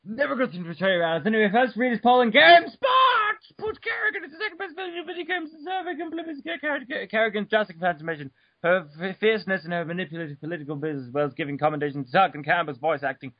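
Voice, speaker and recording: Man, high pitch, mock-dramatic reading, stumbles and jokes, dull lo-fi home recording. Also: expressive, racing, few pauses, no room sound. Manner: over-the-top delivery with stumbles and joking asides. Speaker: reader. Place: room at home.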